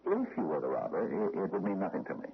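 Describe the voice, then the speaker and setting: Timid, stammering deep-voiced American man. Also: wildly swooping pitch, fast talker, full character voice. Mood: fearful pleading. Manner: nervous, stammering, hesitant, placating. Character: timid, stammering mild-mannered man held captive by a gunman. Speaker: actor in a radio mystery play. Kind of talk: 1970s American radio drama acting. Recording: muffled, noisy old radio broadcast recording.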